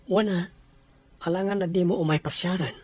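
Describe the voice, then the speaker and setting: A man's voice: sits high, normal speed, slightly rough, no echo. A man playing an easygoing young office worker and sweet-talking fiancé in a radio comedy-drama. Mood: fond.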